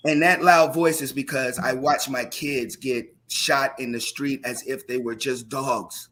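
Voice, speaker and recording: A man who is a teacher speaks in a panel discussion with a loud voice, passionate and emphatic. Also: medium pitch, fairly even intonation, unhurried. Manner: impassioned, rising to emphatic questions.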